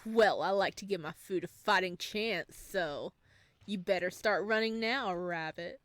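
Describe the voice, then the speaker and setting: A woman's voice: lowish voice, unhurried, fairly bright tone, no room sound. Tate, a woman voicing a taunting cannibal shopkeeper. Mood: menacing. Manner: taunting, menacing drawl. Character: cocky rough-voiced male shopkeeper, a predatory cannibal.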